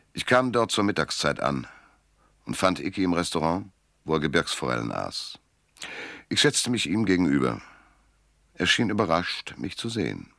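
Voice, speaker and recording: Very deep, sardonic private detective. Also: male voice, vocal fry, a bit bright, dry recording, full character voice. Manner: dry, laconic, self-mocking. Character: world-weary, sardonic male private detective, narrating his case. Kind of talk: German radio drama narration, hardboiled crime.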